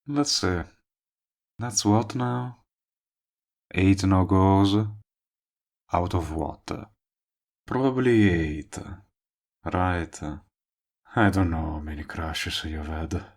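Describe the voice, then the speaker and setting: A man playing a cocky, lovestruck villain in an audio roleplay, teasing and coaxing the listener he is wooing. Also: sits low. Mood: smug, teasing. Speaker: voice actor. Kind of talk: intimate audio roleplay acting addressed to the listener.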